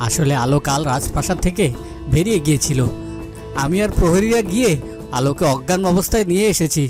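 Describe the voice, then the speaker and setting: Man playing a concerned, higher-voiced fairy-tale king. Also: expressive, fast talker, over music, lightly acted. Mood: concerned, coaxing. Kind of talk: cartoon fairy-tale acting.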